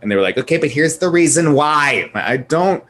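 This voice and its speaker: A man's voice: on the high side, animated, moderate pace, a bit bright. A man chatting casually as a panelist in a group discussion, with a jokey, mock-exclaiming manner. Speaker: podcast panelist. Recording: clear background noise.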